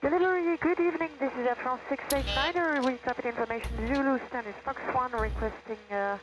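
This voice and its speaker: A woman with a fairly high voice makes pilot calls over aviation radio. She is hesitant, pausing between procedural phrases. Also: poor audio.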